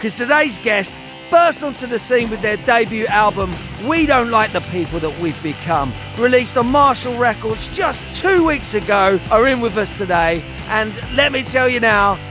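A man's voice: sits high, animated, normal speed, music in the background. A man hosting a podcast in a jokey, matey and enthusiastic way, with casual swearing. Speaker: podcast host. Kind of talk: upbeat podcast presenting and casual chat.

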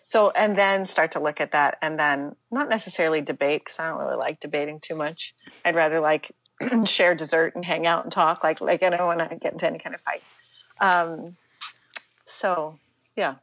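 A middle-aged American woman speaking reflectively as an interview guest, halting and emphatic, often acting out her own inner dialogue. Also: low voice, a bit bright, no echo, low-quality recording. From United States, Pittsburgh, Pennsylvania.

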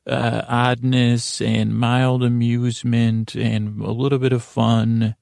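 A man hosting a bedtime podcast in a meandering monologue, halting and interrupting himself as he drifts into tangents. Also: mid-range voice, flat delivery, gravelly, darkish tone, dry recording, studio quality. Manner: rambling, halting, self-interrupting with trailing tangents. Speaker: podcast host.

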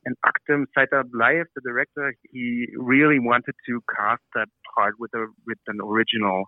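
A man's voice: mid-range voice, flat delivery, normal speed, no echo. A man from Austria with an Austrian German accent, speaking English as a second language, answering interview questions by phone, hesitant with many fillers. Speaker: actor, interview guest. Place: hotel room. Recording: tinny phone line, dry.

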